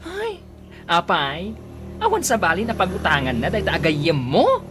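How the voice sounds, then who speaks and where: An actress playing an excitable, suspicious household woman in Ilocano radio comedy drama, with an Ilocano accent. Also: lowish voice, normal speed. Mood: suspicious, annoyed. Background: music.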